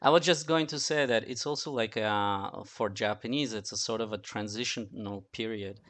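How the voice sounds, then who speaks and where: Guy guesting on a podcast, kinda low voice, halting, repeats himself, casual. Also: a bit bright. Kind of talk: casual panel chat.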